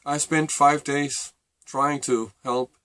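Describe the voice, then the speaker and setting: A middle-aged man with a Danish accent, a native Danish speaker, telling a personal story to camera in a halting, reflective way. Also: fairly high pitch, fairly even intonation, pushed and bright, smooth, no echo. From Denmark. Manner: halting and reflective, with many fillers and restarts. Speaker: vlogger.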